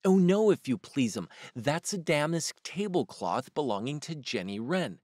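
A man's voice: a little high, very animated, moderate pace, a little mellow, no echo, clean studio sound, pitched above the performer's own voice. A man reading a storybook aloud and voicing a humble little hedgehog washerwoman who keeps bobbing and repeating polite phrases. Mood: eager, polite.

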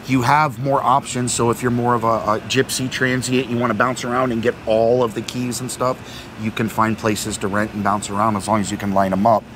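A man's voice: medium pitch, level tone, brisk. Middle-aged man, casual talk, rambling with fillers, outdoor marina, noisy background. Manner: talkative, repeats words, many fillers. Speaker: vlog co-host. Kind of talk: casual explanatory chat. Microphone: fixed camera at a few meters. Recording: outdoor noise behind.

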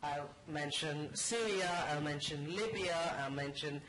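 Articulate woman panelist in a roomy hall. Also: low-pitched. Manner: articulate, measured, says she has a loud voice. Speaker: panelist from an international organization. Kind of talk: conference panel answer. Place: conference room, seated on stage. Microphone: handheld microphone. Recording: roomy hall with background noise.

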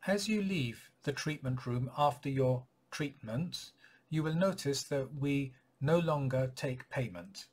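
A man in his forties speaks to camera as a clinic practitioner updating patients. His voice is mid-pitched and somewhat husky. He explains things in a measured, courteous way, recorded in a small indoor office. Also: lively intonation, darkish tone. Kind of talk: informational announcement to camera.

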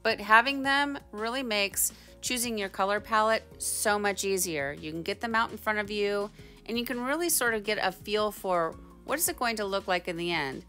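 A woman's voice: medium pitch, expressive, normal speed, pushed and bright, faint music behind. A woman giving a chatty, informal instructional voice-over as a craft tutorial host. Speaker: tie-dye tutorial host.

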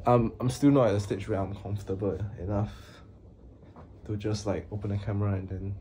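A young man talking to his camera in a small room, with a halting, hesitant manner, flat monotone delivery, and many pauses and fillers. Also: fairly low pitch, slight background noise. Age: young adult. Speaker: vlogger. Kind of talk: casual talk to camera.

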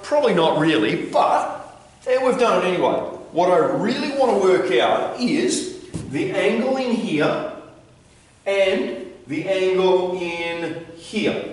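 A man with a slightly high voice teaches maths at a whiteboard. He talks informally and checks in as he goes. The room is very reverberant and the microphone sits some distance away. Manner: informal, checking in with "right?" and "okay?". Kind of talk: explaining a worked problem at a whiteboard. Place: indoor classroom with whiteboard. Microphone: camera a few metres away. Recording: very echoey room, distant mic.

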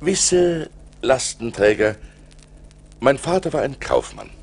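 An actor playing a dignified, seasoned seafarer with a gravelly voice, expressively recounting his adventures in a radio play. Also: medium pitch, heavily acted. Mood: reflective. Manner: deliberate, expressive. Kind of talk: theatrical radio play storytelling.

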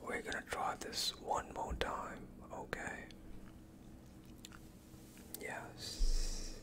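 A gentle vampire doctor, played by a young man, whispers slowly to his patient. His delivery is soothing and attentive, breathed close to the microphone in an ASMR roleplay style. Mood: calm, instructing. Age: young adult. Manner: slow, soothing, reassuring. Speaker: ASMR performer.

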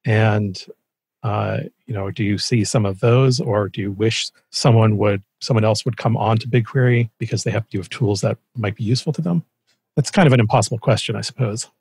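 Gravelly man hosting, husky and casual. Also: on the low side, brisk, darkish tone, no room sound. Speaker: podcast host, interviewer. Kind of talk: casual interview chat.

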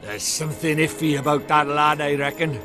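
An actor voicing a cheeky, blunt little sidekick creature in a fantasy game, folksy and colloquial, with a British accent. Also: male voice, on the high side, steady tone, moderate pace, bright, forceful tone, faint music behind. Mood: matter-of-fact.

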